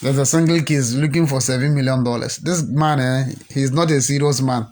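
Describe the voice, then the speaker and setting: A man's voice: medium pitch, normal speed, darkish tone. A middle-aged man with a Ghanaian accent giving opinionated political commentary, rambling with many fillers and repeated words. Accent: Ghanaian English.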